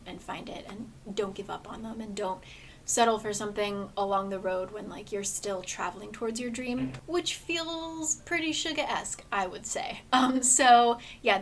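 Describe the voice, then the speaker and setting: A woman with a somewhat hoarse voice, chatting earnestly about books in a casual vlog, full of rambling asides. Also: mid-range voice, a little hiss or hum. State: hoarse, voice gone a bit. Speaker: book vlogger. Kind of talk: casual book review chat.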